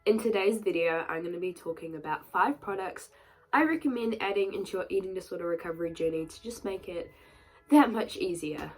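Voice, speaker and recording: Young New woman vlogger, a bit stuffed up from a cold, lowish voice, chatty and earnest, faint music behind. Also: expressive, fairly quick, rough recording. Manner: chatty and earnest, many "like" fillers. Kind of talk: casual talk to camera. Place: sunny room at home. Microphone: camera a little way back.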